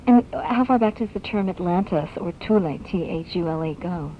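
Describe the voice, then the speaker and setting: A woman's voice: lowish voice, normal speed. Woman host on noisy shortwave radio. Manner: inquisitive, thinks aloud in piled-up questions. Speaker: radio show host interviewing a guest. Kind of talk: conversational radio interview questioning. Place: radio broadcast, taped off air. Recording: muffled, noisy shortwave radio broadcast on cassette.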